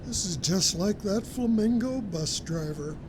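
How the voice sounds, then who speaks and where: A woman's voice: mid-range voice, lively intonation, measured pace, noisy background, lightly acted. A woman playing a weary, exasperated airline passenger in a comedy audio sketch.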